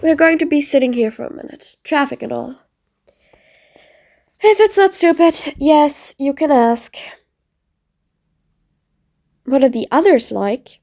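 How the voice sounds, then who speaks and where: A woman's voice: sits high, lively intonation, a little slow, darkish tone. A woman playing a mean but patient supervillain in an audio roleplay, sarcastic, dry and exasperated, speaking straight to the listener. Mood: dry, reflective. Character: mean but patient female supervillain, sardonic, grudgingly soft.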